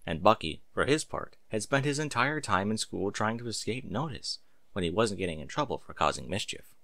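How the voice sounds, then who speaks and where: A man's voice: lowish voice, expressive, a little fast, fairly bright tone, dry recording. A man reading a story aloud as scripted narration, with an emphatic, theatrical delivery. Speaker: audiobook-style story reader. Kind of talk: expressive scripted narration of fan fiction.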